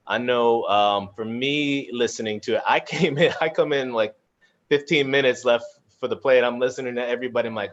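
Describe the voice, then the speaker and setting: Low-voiced man, informal and halting. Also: fairly bright tone, dull audio. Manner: informal, halting, thinking aloud. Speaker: cast member moderating a live audience Q&A. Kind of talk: casual panel chat.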